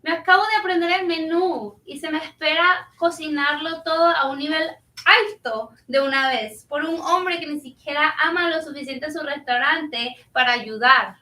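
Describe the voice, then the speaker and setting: Young woman acting a restaurant worker, high voice, frank, bilingual, staged reading, echoey room. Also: brisk, bright, forceful tone. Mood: exasperated, teasing. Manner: bilingual, switches between Spanish and English. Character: overworked, frank, warm-hearted young Colombian-American restaurant worker. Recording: roomy, distant microphone.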